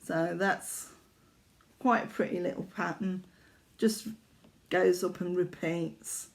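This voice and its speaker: A woman's voice: fairly low pitch, fairly even intonation, takes it slowly, creaky. An older woman from Yorkshire chatting casually at home about her needlework, rambling, with lots of fillers and self-corrections. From Yorkshire, England. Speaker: craft vlogger. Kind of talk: casual chat showing needlework.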